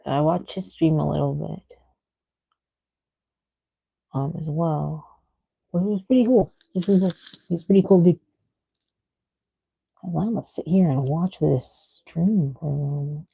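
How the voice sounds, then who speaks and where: A woman's voice: low voice, unhurried, creaky, long pauses, dark tone, breathy edge, no room sound. A woman chatting casually on a livestream in an informal, rambling way. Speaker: streamer, host. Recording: muffled lo-fi mic with clicking.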